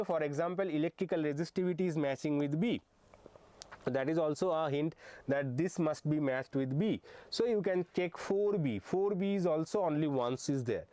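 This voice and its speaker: A man's voice: a little high, warm tone. Man teaching physics live. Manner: explains step by step, often checking in with okay; frequent hesitations with uh and um. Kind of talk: live online exam-prep lesson.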